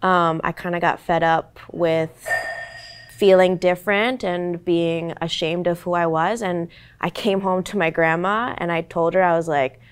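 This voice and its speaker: A woman's voice: on the low side, steady tone, unhurried, clear, resonant tone. A young woman actor in her twenties, whose first language was Korean, talking earnestly and reflectively on a panel with many "like"s and "um"s. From United States. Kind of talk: candid panel talk.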